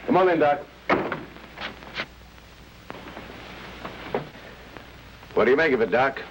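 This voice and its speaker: Actor as a lively, brusque radio police lieutenant. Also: male voice, mid-range voice, very fast, dull audio, slight background noise, natural voice. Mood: breezy. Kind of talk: old-time radio drama acting. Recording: old radio broadcast with music.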